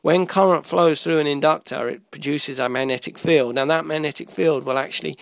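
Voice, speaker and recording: A man giving an informal electronics tutorial, explaining step by step in a halting way, often repeating and rephrasing himself. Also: on the high side, fairly even intonation, vocal fry, no echo. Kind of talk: informal explanatory lesson walking through a diagram.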